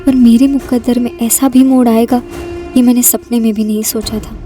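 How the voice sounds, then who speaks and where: An actress playing an anxious, uncertain teenage runaway bride in a TV crime drama. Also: female voice, fairly high pitch, flat delivery, moderate pace, warm tone, far from the mic, soft background music, close to an everyday voice. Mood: wistful, foreboding. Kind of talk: TV crime drama acting with reflective voice-over.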